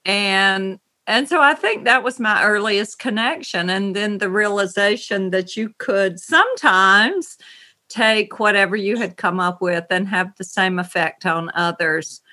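A middle-aged woman from North Carolina with a Southern accent, speaking thoughtfully as an interview guest over a video call from her writing room, pausing and restarting often. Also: mid-range voice, bright, forceful tone. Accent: Southern American. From North Carolina, USA. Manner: slow, thoughtful, with many pauses and restarts. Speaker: novelist guest.

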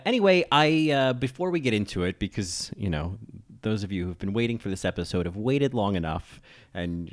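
A man in his thirties hosting a solo podcast, chatting in a rambling way with lots of ums and restarts. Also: sits low. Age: 30s. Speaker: podcast host. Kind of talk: casual solo podcast chat.

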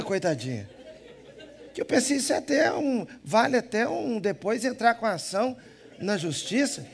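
A man with a Minas Gerais Brazilian accent, preaching a humorous sermon in church, jumping between loud emphatic lines and soft asides. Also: very high-pitched. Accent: Minas Gerais Brazilian Portuguese.

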